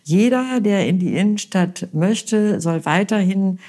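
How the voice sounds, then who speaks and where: A woman from Westphalia answering interview questions as a candidate, earnest and thoughtful, often pausing with fillers and restarts. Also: medium pitch, lively intonation, dark tone. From Westphalia, Germany. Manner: earnest, hesitant with many fillers. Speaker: mayoral candidate.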